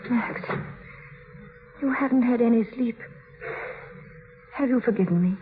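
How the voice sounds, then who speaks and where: A woman's voice: a little high, unhurried, slight background noise, close to an everyday voice. A woman playing an anxious, devoted young wife in a 1950s radio drama, with an earnest, pleading delivery. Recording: narrow-band vintage radio recording with music.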